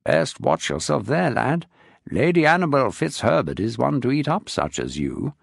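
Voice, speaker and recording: A man voicing a seasoned naval captain, brisk and knowing, a little self-flattering. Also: medium pitch, gravelly, breathy edge, dry recording, clean studio sound, exaggerated voice.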